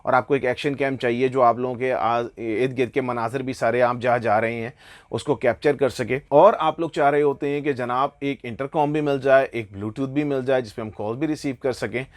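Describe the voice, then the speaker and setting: A man with a Pakistani accent gives a casual product review and sales pitch, explaining enthusiastically and often slipping into English phrases. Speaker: online motorbike gear seller presenting products.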